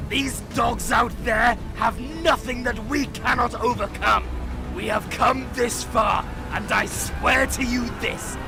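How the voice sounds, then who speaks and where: A man's voice: extremely high pitch, steady tone, slow pace, creaky, over music, full character voice. An actor playing a fierce, commanding Imperial knight captain who rallies his troops in a fantasy audio drama. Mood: rousing, defiant. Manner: commanding, declamatory battlefield oratory.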